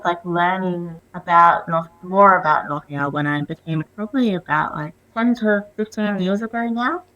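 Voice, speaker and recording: A woman's voice: fairly low pitch, fairly bright tone, poor audio. A young Australian woman in casual chat as a guest, laughing often and using lots of fillers. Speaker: guest, volunteer English teacher.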